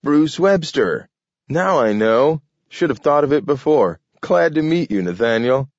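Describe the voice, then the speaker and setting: An easygoing adult male census taker chats in a friendly, relaxed way, as a man reads him aloud. His voice sits a little above the reader's own, on a dull, dry recording. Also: fairly low pitch, dry recording. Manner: relaxed, friendly, quick to grin.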